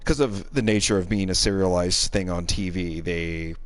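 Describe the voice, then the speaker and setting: Male streamer, fairly deep register, meandering hesitant delivery, offhand conversational tone. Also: steady tone, normal speed. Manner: hesitant, meandering, hedging phrases. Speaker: game streamer, co-host. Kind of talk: casual chat while streaming a game.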